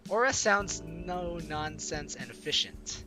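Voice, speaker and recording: A let's play host, a man with a fairly high voice that moves around a lot in pitch. He reads a visual novel aloud and drops in casual, giggly asides. Faint game music plays behind him. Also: lively intonation, takes it slowly. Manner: breaks into giggles and asides while reading.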